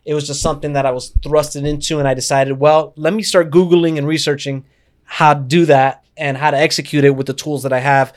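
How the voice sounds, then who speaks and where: A man of about thirty talking casually as an interview guest, projecting his voice in an animated, loud, sweary way. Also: on the high side, fairly quick. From Cuban background, Miami scene. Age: around 30. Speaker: guest, self-taught video creator and filmmaker. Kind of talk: casual conversational interview, storytelling.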